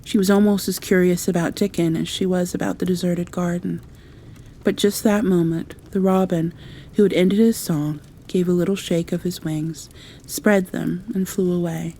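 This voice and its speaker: A woman's voice: fairly low pitch, fairly even intonation, moderate pace, dark tone, a little hiss or hum. A woman narrating a bedtime storybook in an ASMR style.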